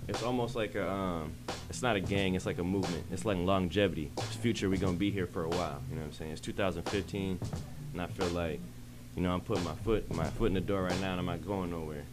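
A low-voiced American man talks casually as a radio guest. He is slangy, often repeating himself, on a close studio mic with music clearly playing behind him. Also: quick pace. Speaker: rapper guest. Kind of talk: casual interview chat. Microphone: close studio broadcast mic.

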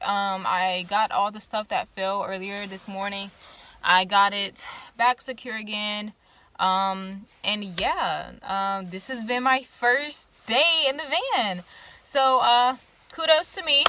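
A woman's voice: on the high side, pushed and bright. A young woman vlogging casually from inside her parked van, chatting and rambling to viewers. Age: young adult. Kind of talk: casual vlog. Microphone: handheld phone camera. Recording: noisy van interior.

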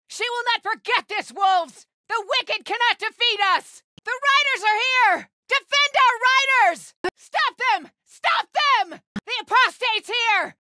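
An actress playing a fierce, zealous cult fighter in a video game, shouting menacing battle cries. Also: female voice, extremely high pitch, no echo, studio quality, heavily acted. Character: fierce female cult fanatic in combat. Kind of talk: video game combat barks.

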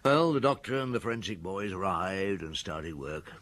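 Middle-aged detective, lowish husky voice, lively intonation, blunt, sceptical, radio drama. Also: male voice, moderate pace. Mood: dry, reflective. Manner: brusque, probing questioning. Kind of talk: radio drama acting and first-person narration.

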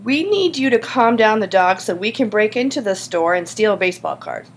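A woman's voice: medium pitch, expressive, brisk, slight background noise, close to an everyday voice. A woman voicing an earnest schoolboy schemer in an audiobook, insistent and persuasive. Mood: nervous. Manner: insistent, pleading. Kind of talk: audiobook character dialogue.

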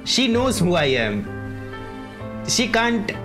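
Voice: medium pitch, sing-song, very slow. A game streamer giving casual playthrough commentary with wry, teasing asides. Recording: game audio and music behind the voice.

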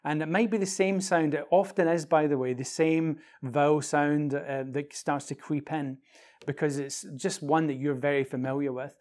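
A man's voice: a little high, steady tone, normal speed, a little mellow. A man in his forties giving explanatory advice to camera as an acting coach, stressing key words. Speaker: acting coach answering questions on his show. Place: small bright indoor office.

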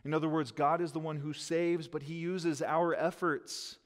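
A man's voice: a little high, little pitch movement, moderate pace. A man in his thirties, a pastor preaching at a lectern, earnest and gesturing as he quotes scripture. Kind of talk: sermon. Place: church stage behind a lectern, indoors. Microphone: headset mic. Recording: long hall reverb.

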